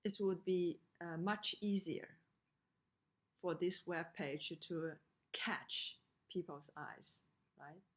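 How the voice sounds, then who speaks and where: Female lecturer, Chinese accent, a little low in pitch, very unhurried and halting delivery, talking through slides. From China. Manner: halting, with frequent pauses and hesitations. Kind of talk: slide lecture on design usability.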